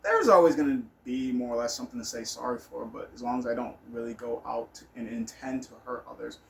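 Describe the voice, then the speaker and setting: A man's voice: mid-range voice, animated, brisk, vocal fry. A man telling personal stories in a casual, rambling monologue, blunt and candid, with some swearing. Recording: noticeable background noise.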